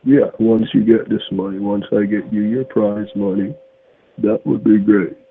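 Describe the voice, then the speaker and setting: A man's voice: lowish voice, steady tone, moderate pace. A man on a phone call, blunt and pushy, laughing off questions and repeating his demands. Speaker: phone scammer. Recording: tinny phone line with faint hiss.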